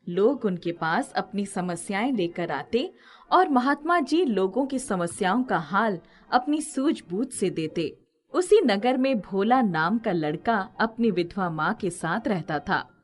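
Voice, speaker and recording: A woman reading scripted moral-story narration as a storyteller. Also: medium pitch.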